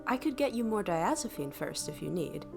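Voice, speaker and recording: An actress playing a composed, attentive shipboard attendant in an audio drama, speaking in a measured and careful way. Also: female voice, lowish voice, big pitch swings, gravelly, music in the background. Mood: careful, concerned.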